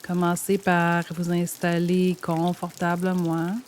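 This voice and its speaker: A woman guiding a yoga nidra meditation in a gentle, soothing, measured way, leaving pauses between phrases. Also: on the low side, a little hiss or hum. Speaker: meditation guide. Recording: steady rain sound over the voice. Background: rain sound.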